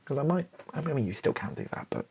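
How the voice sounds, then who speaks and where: A British man speaks in a soft, very husky and breathy voice with crackling vocal fry, slipping toward a whisper. His intonation moves around a lot as he improvises casually in an ASMR style, thinking aloud in hesitant fragments. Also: fairly high pitch, expressive, fast talker. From Britain. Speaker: ASMR video creator thinking aloud while writing.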